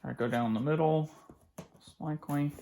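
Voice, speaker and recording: Gravelly-voiced man casually narrating an unboxing. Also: fairly high pitch, fairly even intonation. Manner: halting, informal, thinking aloud as he handles things. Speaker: hobbyist collector presenting an unboxing. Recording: faint background noise, clicks of handling.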